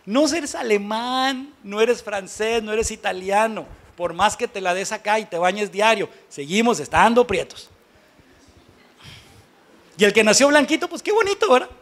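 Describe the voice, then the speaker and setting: A Mexican pastor with a notably high voice for a man delivers a sermon to his congregation. He talks in a colloquial, conversational register, blunt and emphatic, often putting rhetorical questions to his listeners. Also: a little slow, a bit bright.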